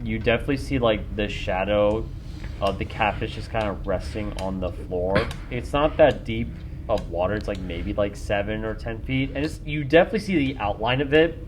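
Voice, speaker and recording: A man narrating a tabletop game off the cuff as game master, in a casual, halting way full of filler phrases. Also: lowish voice. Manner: casual, halting, hedges with "like" and "kinda". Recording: noisy room, group recording.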